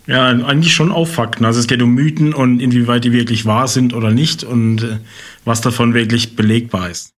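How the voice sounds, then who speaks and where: Relaxed male podcast co-host. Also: medium pitch, brisk. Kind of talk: casual podcast chat. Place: on site at a training course.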